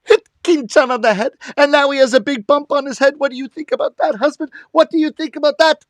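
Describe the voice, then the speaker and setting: A fretful mother calls urgently for her husband, repeating every question in a high, anxious voice, as a male storyteller puts her on. The sound is close and dry. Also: steady tone, fast, dry recording. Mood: alarmed.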